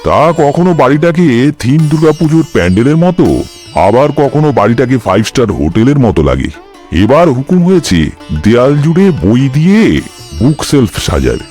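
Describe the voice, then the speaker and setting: A man acts out a put-upon husband from a cartoon comedy. His delivery is exaggerated and his pitch rises and falls a great deal. Light music runs underneath. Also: sing-song, normal speed, mellow, close to an everyday voice. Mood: exasperated, explaining. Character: male neighbourhood property promoter, put-upon husband. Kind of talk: animated cartoon comedy dialogue.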